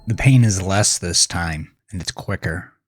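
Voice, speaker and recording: Deep, slow male horror narrator. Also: no room sound, studio quality, soft background music. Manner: grave, deliberate, suspenseful. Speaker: author and narrator of horror podcast. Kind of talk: dramatic second-person horror story narration.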